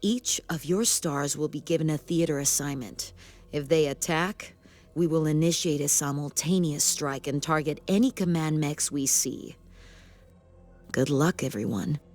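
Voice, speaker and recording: An actress playing a stern, authoritative sci-fi military commander in a video game, with formal, clipped briefing delivery. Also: female voice, low voice, measured pace, darkish tone, faint music behind. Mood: resolute. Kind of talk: video game cutscene acting.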